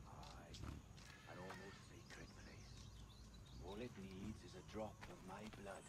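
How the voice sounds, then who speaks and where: Low-voiced and unhurried, a mysterious pirate captain in a game cutscene, well-spoken and cryptic. His measured lines drop to near-whispers, with loud game effects roaring behind him. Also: steady tone, very noisy. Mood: calm. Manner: measured, mysterious, often hushed. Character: calm, cryptic, cultured older male pirate captain. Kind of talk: video game cutscene acting.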